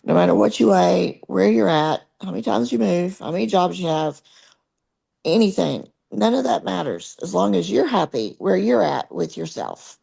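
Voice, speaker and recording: Hoarse gravelly-voiced woman co-host. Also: fairly low pitch, animated, normal speed, mellow. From American South. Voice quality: husky, gravelly. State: losing her voice, hoarse. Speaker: podcast co-host. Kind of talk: casual chat.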